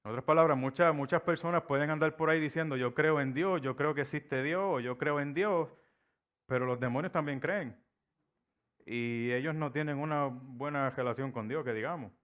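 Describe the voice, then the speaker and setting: A man's voice: on the high side, normal speed, a bit bright. Puerto Rican man preaching a sermon. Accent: Puerto Rican Spanish. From Puerto Rico. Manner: storytelling, acts out quoted voices.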